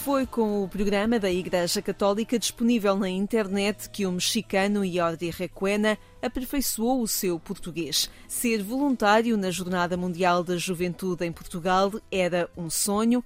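A woman reporter reading a scripted news voice-over in an even, measured way. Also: medium pitch, soft background music.

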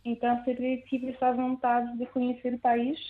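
A woman's voice: fairly high pitch, completely flat delivery, normal speed. French-born woman on a tinny phone line. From France. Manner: hesitant, searching for words in a learned language. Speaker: illustrator, interview guest.

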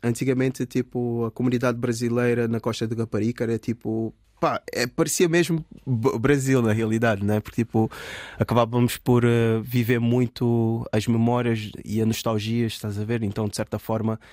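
A man's voice: medium pitch, little pitch movement, normal speed, a little mellow, no echo, clean studio sound. Young Brazilian-born man, rambling. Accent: mix of Brazilian and European Portuguese. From Brazil (Minas Gerais). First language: Brazilian Portuguese. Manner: rambling, hesitant, many fillers. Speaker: guest, dancer. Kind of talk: casual radio interview.

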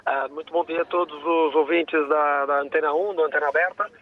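A man's voice: on the high side, fairly even intonation, a little fast. A man with a Brazilian-tinged Portuguese accent phoning in opinionated football commentary, emphatic and given to repeating himself, over a tinny phone line. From Portugal, lived many years in Brazil. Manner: emphatic, repetitive. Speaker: phone-in caller, football fan.

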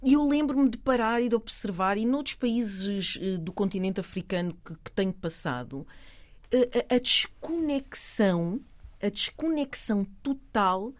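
A middle-aged woman with a European Portuguese accent, chatting as a guest, animated, laughing often and repeating words while she searches for them. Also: mid-range voice, unhurried. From Portugal and Cape Verde. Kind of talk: casual conversation.

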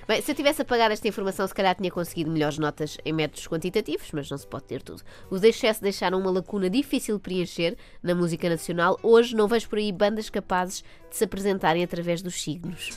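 A woman's voice: fairly low pitch, expressive, quick pace, hardly any pauses, soft background music. A woman with a European Portuguese accent delivering a scripted comic monologue as a radio host, in a wry, mock-earnest manner.

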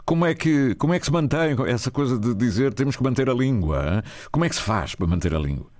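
A man's voice: mid-range voice, expressive, fast talker, a little mellow. A man interviewing a guest in an informal, conversational way, often prompting with tag questions.

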